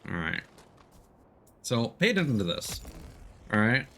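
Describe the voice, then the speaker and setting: A man with a fairly low voice gives casual, explanatory commentary while playing a video game. He has an easygoing manner, and game noise runs behind his voice. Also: big pitch swings, a little slow, vocal fry, a bit bright. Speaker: game streamer. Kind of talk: casual gameplay commentary, explaining as they play. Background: video game sound.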